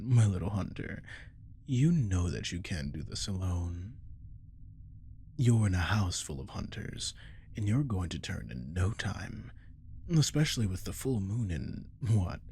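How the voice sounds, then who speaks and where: A man playing a captive werewolf in an ASMR roleplay, seductive, menacing and possessive, speaking in a slow, coaxing, teasing murmur close to the mic. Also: sits low, vocal fry, a little mellow, slight background noise, heavily acted. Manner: slow, coaxing, teasing, often dropping to whisper. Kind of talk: ASMR audio roleplay acting. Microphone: close microphone.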